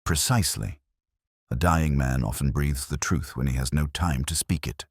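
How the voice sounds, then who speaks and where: A man reads as a Victorian detective in an audiobook, cool and commanding. The voice is extremely low and a little rough, making precise, theatrical pronouncements in a dry, close recording. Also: no echo, full character voice. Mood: grave, deliberate. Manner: cold, deliberate, theatrical pronouncements.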